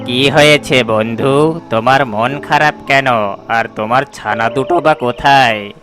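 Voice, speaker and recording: A man voicing a shrewd crow who questions and counsels a friend in an animated moral story. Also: mid-range voice, measured pace, over music, close to an everyday voice. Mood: concerned.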